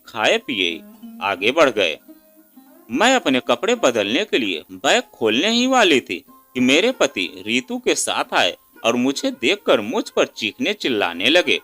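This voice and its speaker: A male storyteller reads a dramatic moral tale with great emotion. His voice is bright and forceful, swinging very widely in pitch. Soft instrumental music plays underneath. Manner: emotive, reads a woman's first-person account. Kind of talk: dramatic scripted Hindi moral story narration.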